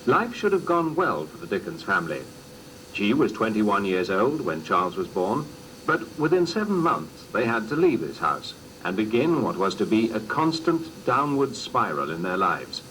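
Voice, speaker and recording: Male narrator for a biographical documentary: slightly low voice, animated intonation, formal scripted delivery, faintly noisy cassette sound. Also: normal speed. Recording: noisy old cassette recording.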